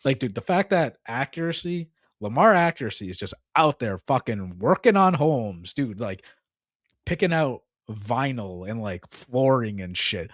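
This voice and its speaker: A man's voice: medium pitch, expressive, measured pace, no echo, studio quality. A young man co-hosting a casual gaming podcast, rambling and filling his talk with "like" and "dude.". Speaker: podcast co-host.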